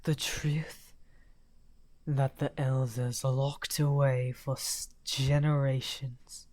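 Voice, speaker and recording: A man playing a lonely, misunderstood evil wizard in an ASMR roleplay, speaking softly and courteously in a breathy, whispery, halting voice. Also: mid-range voice, flat delivery, lightly acted. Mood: hopeful, coaxing. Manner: slow, halting, musing.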